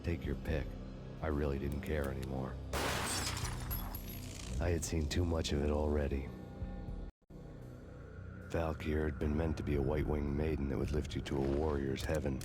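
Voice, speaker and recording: A very deep, flat-toned American noir cop narrates with weary, terse bitterness. He is brooding and bent on revenge, in video game style, with loud music underneath. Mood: weary, bitter. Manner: deadpan, world-weary, terse. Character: brooding, vengeful hardboiled male cop narrator. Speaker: protagonist and narrator.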